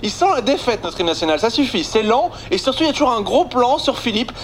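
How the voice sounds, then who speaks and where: High-voiced Belgian comic with a sly, punchy radio-column delivery; slight noise underneath. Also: male voice, very animated, fast talker. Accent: Belgian French. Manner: sarcastic, punchy joke delivery. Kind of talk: comic monologue on morning radio.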